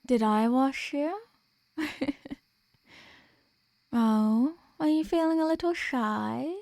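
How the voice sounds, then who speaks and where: Elder vampire woman , voiced by an actress: aristocratic, possessive, teasing. Unhurried delivery, close dry sound, ASMR roleplay. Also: sits high, creaky, darkish tone, dry recording, heavily acted. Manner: haughty, teasing, formal and deliberate diction. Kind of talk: ASMR roleplay audio drama.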